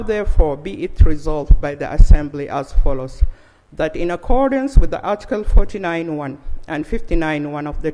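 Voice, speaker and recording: High-voiced South Sudanese legislator in a hall. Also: male voice, warm tone. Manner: measured, with frequent "uh" fillers. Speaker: member of parliament moving a motion. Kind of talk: formal reading of a motion, then plain remarks. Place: large legislative chamber. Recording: long reverb of a large chamber.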